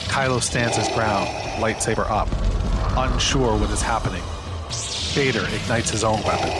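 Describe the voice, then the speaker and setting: A male narrator with a fairly low voice reads screenplay action and scene headings. The delivery is scripted storytelling, with music clearly playing behind him.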